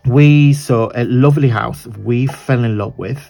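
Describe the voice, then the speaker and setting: Italian man, croaky this morning, casual rambling craft host who corrects himself mid-sentence, mid-pitched voice. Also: unhurried, dark tone. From southern Italy. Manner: rambling, chatty, self-correcting. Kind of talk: chatty crafting tutorial.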